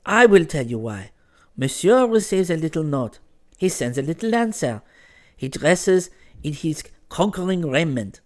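Storybook part: young Frenchwoman, played by a man, lifted pitch, very lively melody, sharp-tongued and teasing. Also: unhurried. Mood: frank, scolding. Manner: pointed questioning and scolding, sprinkled with French exclamations.

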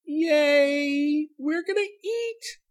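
A man's voice: high voice, very animated, moderate pace, slightly rough, voice pushed up, full character voice. A man voicing an eager, bossy teenage girl in a storybook, with bold, impatient, exclamatory delivery. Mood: excited.

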